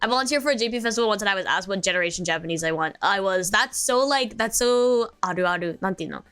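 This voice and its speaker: A woman's voice: mid-range voice, expressive, fast talker, fairly bright tone, clean tone, soft background music. A young American woman streaming, chatting casually and sleepily, rambling with many fillers and restarts. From United States.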